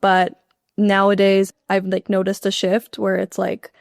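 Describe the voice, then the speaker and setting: A woman's voice: mid-range voice, monotone, unhurried, vocal fry, dry recording, studio quality. A young woman from Canada, a university student, answering interview questions in a chatty way with lots of "like.". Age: young adult. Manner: chatty, frequent "like" fillers.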